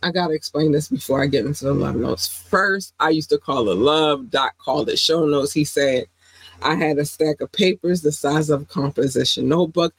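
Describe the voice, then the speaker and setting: A woman hosting her own podcast, chatting casually and affectionately, often laughing and jumping into high, excited squeals. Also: moderate pace, breathy edge, no room sound. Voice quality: affectionate, calls listeners "baby", jumps into high squeals when excited. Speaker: podcast host. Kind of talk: casual solo podcast chat with shout-outs.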